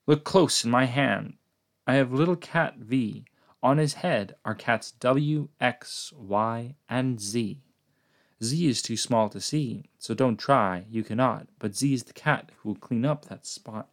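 A bossy, mischievous cat from a storybook, read out by a man, showing off in a boastful, showy way. His voice sits at an ordinary middle pitch with a fairly lively lilt. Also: slow.

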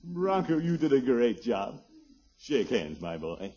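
A man's voice: mid-range voice, sing-song, takes it slowly, dark tone, husky, full character voice. An actor playing a pompous, easily flustered middle-aged American man in a 1950s radio comedy, with theatrical blustering. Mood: amazed, pleased. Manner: theatrical, blustering, swooping exclamations. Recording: muffled, noisy old radio recording.

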